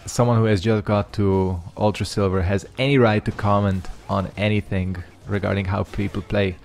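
A man's voice: on the low side, flat delivery, normal speed, creaky, a little mellow, soft background music. A young man whose first language is Hungarian, casually rambling over his own fighting game matches with loose slang and lots of fillers. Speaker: gaming channel host. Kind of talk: casual commentary over gameplay.

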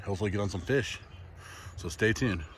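A man's voice: lowish voice, fairly quick, a little hiss or hum. A man hosting a casual fishing vlog outdoors by a river, calling out to his viewers. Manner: excited, addresses viewers as guys. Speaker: fishing channel host.

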